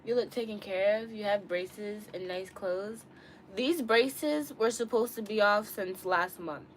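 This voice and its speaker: A woman's voice: mid-range voice, a little slow. A woman in her early twenties chatting casually to live-stream viewers in a candid, slangy, rambling way. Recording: clearly noisy room ambience.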